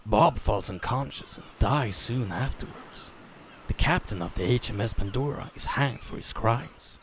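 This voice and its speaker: A man narrating a story as a dramatic reading, theatrical in manner with deliberate pauses. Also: mid-range voice, a little hiss or hum.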